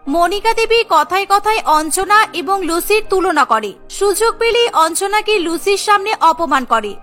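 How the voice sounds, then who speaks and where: A woman's voice: very high-pitched, pushed and bright. A woman narrating a scripted cartoon story as its storyteller.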